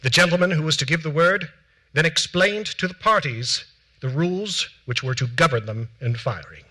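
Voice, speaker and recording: A male actor plays an early American gentleman in a historical stage reading. His voice is bright and crisp-edged, pitched slightly high. He recounts events in a solemn, formal, deliberate declamation. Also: animated, rough recording. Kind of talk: theatrical dramatic reading of period documents. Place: theatre stage before a seated audience.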